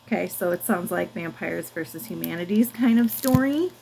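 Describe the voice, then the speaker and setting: A woman's voice: lowish voice, expressive, normal speed, creaky, a little mellow, slight background noise, rough recording. A woman book vlogger chatting casually to camera in informal, slangy language.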